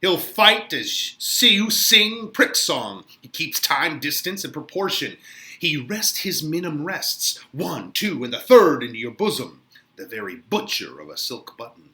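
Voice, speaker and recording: A man's voice: a little high, sing-song, unhurried, sharp tone, full character voice. A man playing a mocking, theatrical young Elizabethan wit in an exaggerated dramatic Shakespeare reading. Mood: mocking bravado. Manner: theatrical, exaggerated, swooping delivery.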